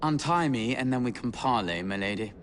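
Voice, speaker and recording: An actor playing an unflappable rogue and former carnival performer with a working-class English accent, cheeky, flippant and playfully courteous in a game cutscene. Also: male voice, mid-range voice, lively intonation, a little fast, natural voice. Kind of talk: video game cutscene acting. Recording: clear background noise.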